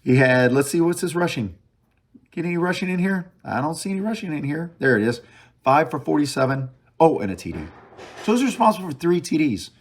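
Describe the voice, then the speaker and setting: A man's voice: a little high, lively intonation, fairly quick. Emphatic man talking football. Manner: emphatic repetition of short phrases; opinionated fan talk, thinking aloud. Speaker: sports podcast host. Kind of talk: casual solo football game review.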